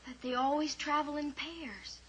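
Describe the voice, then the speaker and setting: An actress playing an earnest, quick-witted young heroine in an old-time radio drama. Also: female voice, high-pitched, fairly even intonation, fairly bright tone. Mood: earnest concern. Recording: old radio recording with faint hiss.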